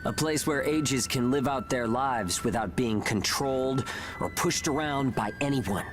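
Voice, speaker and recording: A man playing a quietly defiant, weary young soldier in a video game, speaking in an earnest, measured way. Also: medium pitch, natural voice. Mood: resolute. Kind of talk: video game cutscene voice acting. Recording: music behind the voice.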